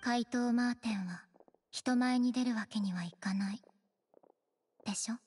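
A woman acts a cool young cat-girl from an anime game. Her voice is fairly high but composed and deadpan, each line measured and quietly pointed, with light music underneath. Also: close to an everyday voice. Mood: hushed, cool. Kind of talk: Japanese anime game voice acting. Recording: faint music behind the voice.

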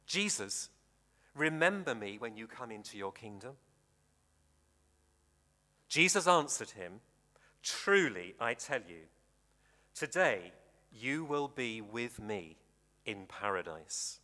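Animated male preacher in a reverberant hall. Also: medium pitch, slow pace, long pauses. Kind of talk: sermon with scripture reading. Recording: reverberant hall, long echo.

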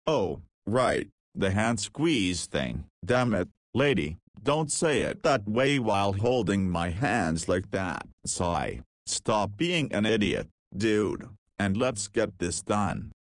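A man's voice: on the low side, very animated, slow pace, hardly any pauses, no echo, clean studio sound. A man playing a cheeky, self-deprecating young patient in a visual novel, slangy, casual and wry. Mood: wry musing.

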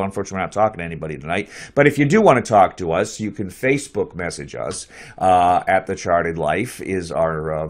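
A man in his forties hosting a small online show, talking in a loose, chatty way while he has a cold, recorded in a small office on a desk mic. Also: deep voice. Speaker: host. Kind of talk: casual live-stream hosting. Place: small office with a map on the wall. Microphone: headphones with a desk mic.

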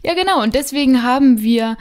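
A woman's voice: high voice, animated, brisk. A woman presenting a podcast as co-host in a scripted style.

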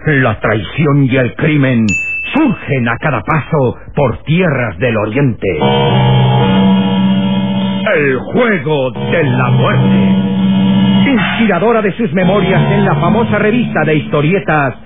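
Slow, grandiose male radio serial announcer. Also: fairly high pitch, lively intonation. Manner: grandiose, declamatory. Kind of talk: dramatic old radio serial opening announcement. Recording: tinny old radio recording with loud music.

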